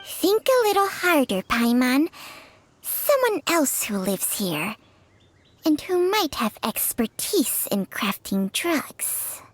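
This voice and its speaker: A woman playing a proud, sharp-tongued ancient spirit creature in a video game, lecturing with haughty sarcasm. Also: high-pitched, sing-song, slow, heavily acted. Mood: sardonic, reasoning.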